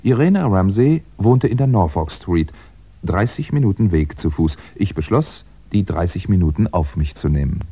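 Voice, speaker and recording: An actor playing a wry, understated fugitive crime writer in a 1960s German radio play. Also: male voice, sits low, expressive, moderate pace, mellow, slightly rough, exaggerated voice. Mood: wry, recounting. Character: fugitive crime writer, dry, weary, polite man on the run. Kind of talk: 1960s German radio play acting and first-person narration. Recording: dull, noisy 1960s radio recording.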